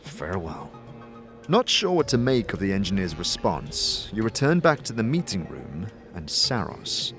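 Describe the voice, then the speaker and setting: Male narrator for fantasy audio drama, animated and varied in pitch, music underneath. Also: moderate pace, few pauses. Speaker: narrator and lead actor. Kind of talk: scripted second-person fantasy narration.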